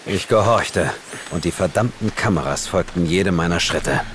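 A man's voice: sits low, moderate pace, background noise, lightly acted. An actor playing a tough, determined monster hunter who narrates his audio drama in a terse, grim way. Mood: tense narration. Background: loud dramatic music.

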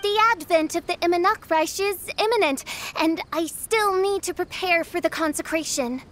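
A woman's voice: very high-pitched, moderate pace, fairly bright tone. A woman voicing a theatrical teenage girl who poses as an imperious gothic princess in a game, grandiose and pompous. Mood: haughty. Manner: grandiose, pompous, archaic diction. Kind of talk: scripted video game dialogue.

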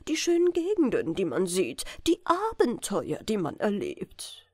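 A woman's voice: medium pitch, wildly swooping pitch, measured pace, a little mellow, breathy edge, no room sound, clean studio sound, exaggerated voice. A woman voicing an eager male royal councillor, courtly and coaxing, in a fairy-tale reading. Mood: persuasive.

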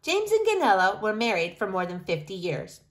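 A woman reading a picture book aloud in an expressive, deliberate storytelling style. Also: medium pitch. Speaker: reader of a children's picture book.

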